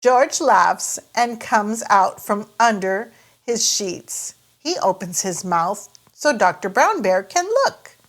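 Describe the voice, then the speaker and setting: Slow, animated woman storyteller for children. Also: medium pitch. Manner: sing-song, simple careful phrasing for small children. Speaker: storyteller and host. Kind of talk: expressive children's read-aloud narration.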